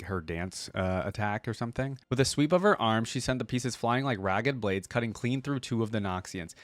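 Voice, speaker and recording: A man hosting a casual reaction video, reading text aloud and thinking out loud in a conversational way. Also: on the low side, no echo. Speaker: host of a gaming lore reaction channel.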